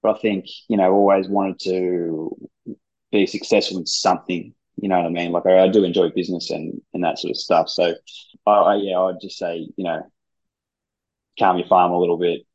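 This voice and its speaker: Laid-back husky low-voiced man. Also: flat delivery, moderate pace, darkish tone. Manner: laid-back, rambling, swears casually. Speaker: gym founder and ice hockey player, guest. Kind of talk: casual interview chat. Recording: dull lo-fi sound.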